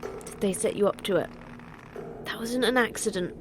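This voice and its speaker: A woman's voice: medium pitch, normal speed, a little hiss or hum, lightly acted. A woman playing a terse, irritable, sarcastic station manager in a sci-fi horror audio drama. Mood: angry, alarmed. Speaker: station manager of a secret facility.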